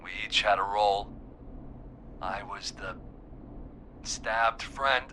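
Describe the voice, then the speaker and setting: An actor playing a wounded, guilt-ridden small-town man in a video game, weary and halting as he confesses over a call. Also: fairly low pitch, sharp tone, a little hiss or hum. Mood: grieving, guilty. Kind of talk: dramatic video game voice acting.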